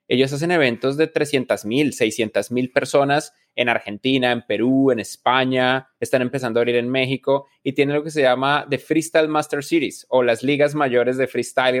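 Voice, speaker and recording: A man, a reflective interview guest who often restarts his phrases. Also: medium pitch. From Colombia. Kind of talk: casual interview talk.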